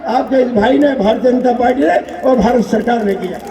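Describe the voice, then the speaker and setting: A man giving a loud, declamatory campaign speech as a politician, using repeated phrases and rhetorical questions. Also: very high-pitched, brisk, creaky, a crowd in the background, background noise. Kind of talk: political rally oratory. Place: large public political gathering. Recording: echoey PA at crowded rally, distant mic.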